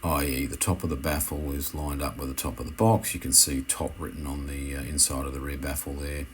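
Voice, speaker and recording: Male instructor, extremely deep register, unscripted tutorial voice-over with hesitant phrasing, some noise behind the voice. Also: little pitch movement. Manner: hesitant, halting, self-correcting. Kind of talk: unscripted how-to voice-over commentary.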